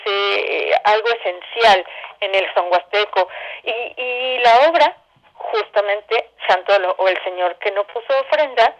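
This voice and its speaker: A woman with a Mexican accent giving a radio interview as a guest, speaking haltingly with searching pauses. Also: medium pitch, lo-fi sound. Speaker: guest, theatre company director.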